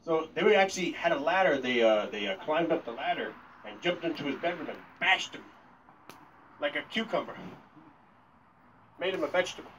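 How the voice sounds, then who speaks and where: A man's voice: a little high, expressive, fairly quick, long pauses, a bit bright, a little hiss or hum. A young man doing casual, rambling stand-up outdoors, exclaiming and getting sidetracked as he banters and tells stories. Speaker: stand-up comedian. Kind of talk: casual live stand-up, storytelling and crowd banter. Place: outdoors at night by a log cabin porch. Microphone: handheld microphone, filmed from a few meters. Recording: distant phone recording of outdoor amplified set.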